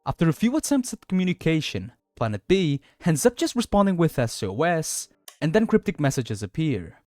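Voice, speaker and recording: A man's voice: on the high side, very animated, moderate pace, a little mellow, no room sound, clean studio sound. A man reading scripted video essay narration in a dramatic storytelling manner.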